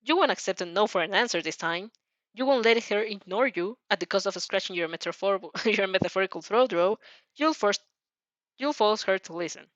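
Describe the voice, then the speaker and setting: A woman narrating a fan fiction story dramatically, with an expressive, somewhat halting delivery. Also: medium pitch, no echo, dull audio.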